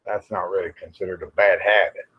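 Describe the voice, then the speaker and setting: A man's voice: deep voice, little pitch movement, a little fast, breathy edge. An American man, middle-aged or older, hosting a casual, rambling livestream chat in a folksy, plain-spoken way with some mild cussing. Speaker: livestream host. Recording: dull, lo-fi livestream audio.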